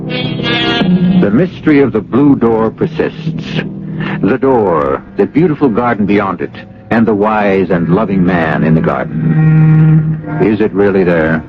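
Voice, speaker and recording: A man hosting a radio mystery series with dramatic, deliberate, literary narration. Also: on the low side, sing-song. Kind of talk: theatrical scripted radio narration. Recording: dull old radio broadcast, music.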